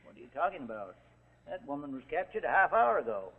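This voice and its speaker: An actor playing a gruff, sardonic rural sheriff in 1940s suspense television style. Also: male voice, a little high, very animated, quick pace, full character voice. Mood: matter-of-fact. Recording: tinny, echoing 1949 television soundtrack.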